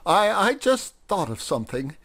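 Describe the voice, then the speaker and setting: Jolly female cow, cheerful and bright, voiced by a man. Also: high-pitched, sing-song, normal speed, pitched above the performer's own voice, exaggerated voice. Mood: amused. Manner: jovial, cheerful. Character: broad-faced, good-humored female cow.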